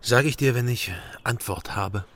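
An actor playing a guarded, dry-humoured hero who narrates a radio crime drama in terse sentences. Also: male voice, mid-range voice, unhurried, a bit bright, a little hiss or hum, close to an everyday voice. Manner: terse, laconic, wry. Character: dry, guarded male hero telling his own story. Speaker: first-person narrator. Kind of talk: scripted noir crime narration.

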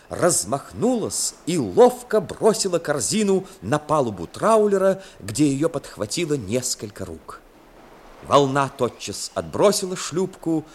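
A man narrating a literary story in a 1960s radio play, expressive and measured. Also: on the high side. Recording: 1960s radio broadcast with faint noise.

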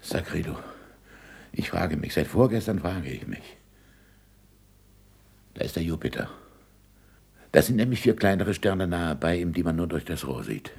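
A middle-aged scholar of the stars in a radio play. His voice is very low and badly husky, and it swings widely in pitch. He speaks with impatient passion and theatrical emphasis. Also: animated, a little slow, long pauses, heavily acted. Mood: intent, wondering. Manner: emphatic stage diction, swinging from murmurs to shouts. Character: passionate, impatient middle-aged astronomer, hungry for proof and food. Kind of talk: 1960s German radio drama acting.

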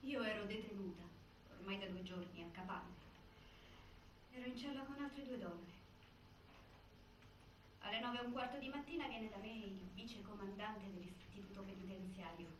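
A woman's voice: mid-range voice, normal speed, pauses often, lightly acted. An actress playing an anxious detained woman in a stage drama, urgent and pleading. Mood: subdued. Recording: noisy, echoey theatre, distant mic.

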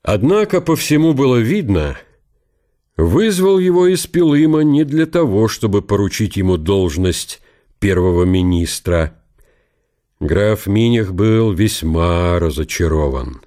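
A man's voice: fairly low pitch, animated, measured pace, warm tone. A man narrating a historical radio play in a dramatic literary style.

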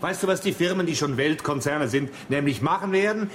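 An actor playing a stubborn, irascible company boss in a radio drama, blunt, scornful and emphatic. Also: male voice, on the high side, a little fast, a bit bright, lightly acted. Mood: angry, scornful. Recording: noticeable background ambience noise.

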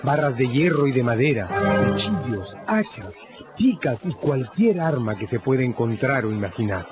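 A man delivering dramatic scripted narration for a radio novel, solemn and emphatic, with deliberate phrasing. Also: medium pitch, sing-song. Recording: dull lo-fi radio production, loud music.

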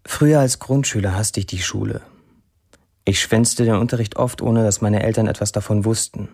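Deadpan, sardonic young man. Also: on the low side, moderate pace, vocal fry, breathy edge. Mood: wry. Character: young Syrian man, sardonic, disillusioned social media diarist. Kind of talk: literary monologue in a radio drama.

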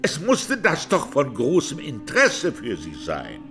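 An actor playing a sharp, sceptical examiner in a 1920s trial radio drama, with pointed, incredulous questioning. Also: male voice, mid-range voice, wildly swooping pitch, unhurried, over music. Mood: sceptical, insistent.

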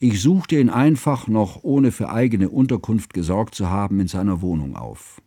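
An actor playing a cultured, devoted friend who narrates a literary radio drama in a measured, precise and formal way. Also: male voice, on the low side, animated, mellow, breathy edge, no echo, studio quality. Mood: reflective, measured. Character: cultured middle-aged male narrator, devoted, bookish friend.

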